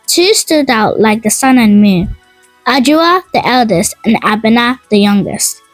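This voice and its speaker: A woman narrating a scripted folk tale in a dramatic, expressive and measured storytelling manner. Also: fairly high pitch, no room sound, rough recording. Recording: dry voice over music. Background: music.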